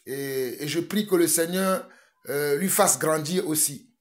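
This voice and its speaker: A man's voice: on the high side, measured pace. A man from Togo, preaching a fervent sermon that mixes prayer with urgent exhortation and repetition. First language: a Togolese language.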